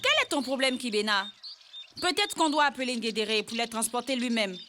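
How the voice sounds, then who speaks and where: A woman voicing a jealous young girl in an educational cartoon, childlike and quick to protest. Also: a little high, close to an everyday voice. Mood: annoyed. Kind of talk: French-language educational cartoon dub. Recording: loud music, birdsong behind.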